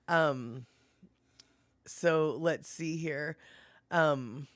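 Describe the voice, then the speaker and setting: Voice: medium pitch, extremely slow, fairly bright tone, no echo, studio quality. A livestream host chatting casually and reading viewer comments aloud, laughing often and musing while scrolling. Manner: frequent fillers like "let's see here".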